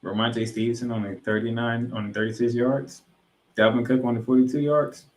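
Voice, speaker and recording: A man's voice: mid-range voice, steady tone, a little fast. A man hosting a sports podcast, giving recap commentary in an emphatic way and repeating points for effect. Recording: roomy, echoing room sound.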